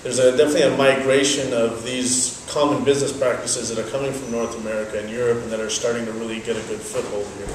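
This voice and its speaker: A young man whose first language is Dutch, giving measured, explanatory answers in an interview. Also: mid-range voice, little pitch movement, a little hiss or hum. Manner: measured, explanatory, thoughtful pauses. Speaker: interviewee, business owner. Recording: very echoey, from a distance, faint ambience.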